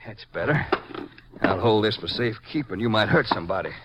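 Lawman partner male actor, deep, unflappable, radio western, dull noisy vintage recording. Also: expressive, a little fast, natural voice. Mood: calm, dry. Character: steady, unflappable lawman sidekick of a Ranger. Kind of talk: 1950s radio western acting.

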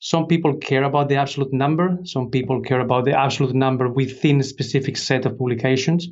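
Slightly dull recording, man, interview guest, medium pitch, explains step by step, rhetorical questions. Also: steady tone, fast talker, darkish tone. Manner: explanatory, poses questions then answers them. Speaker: interview guest, industry expert. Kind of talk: conversational explanation.

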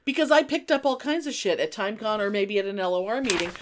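A woman's voice: mid-range voice, lively intonation, quick pace. A middle-aged woman co-hosting a podcast in casual, effusive, sweary chat with a friend. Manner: effusive, sweary, gasps and exclaims. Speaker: podcast co-host.

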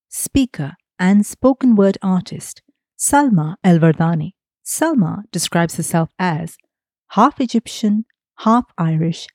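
A woman hosting a podcast, reading a scripted intro and then interviewing her guest in an earnest, measured way. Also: fairly low pitch, warm tone, no room sound, clean studio sound. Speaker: podcast host.

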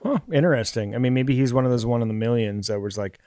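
A man's voice: mid-range voice, quick pace, mellow, no echo, studio quality. A man speaking as a podcast panelist in a casual, conversational review discussion.

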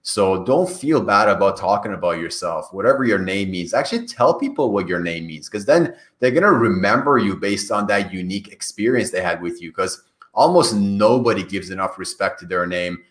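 A man's voice: deep voice, animated, fairly quick, fairly bright tone. A man who grew up in Iran and speaks Farsi as his first language, answering podcast interview questions in an earnest way, often restarting and repeating words. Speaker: podcast guest. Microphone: call.

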